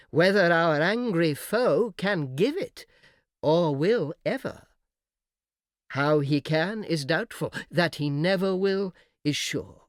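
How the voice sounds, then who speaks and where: A man playing a smooth, persuasive fallen angel who argues in measured rhetorical questions, in a dramatic reading of epic verse. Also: fairly high pitch, no room sound, clean studio sound. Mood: urgent, rhetorical. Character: smooth, persuasive fallen angel, graceful false-hearted orator.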